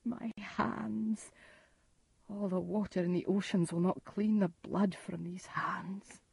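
A woman voicing a fearful, guilt-ridden murderer lord in a storybook, hushed and haunted. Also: deep voice, slow pace, breathy edge, no room sound, full character voice. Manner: hushed, haunted, often whispering.

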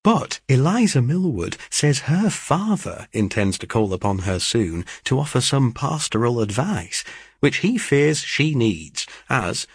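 A man voicing an excitable young sister in an audiobook of a classic novel, chattering emphatically with gossip. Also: medium pitch, unhurried, husky, dry recording, studio quality, voice pushed up, full character voice. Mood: excited, gossipy.

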